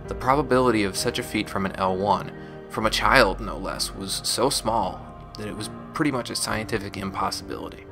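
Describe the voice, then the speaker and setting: A man voicing a young former gang operative, telling painful memories in a measured, scripted audio log. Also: on the low side, vocal fry. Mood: regretful, reflective. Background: music.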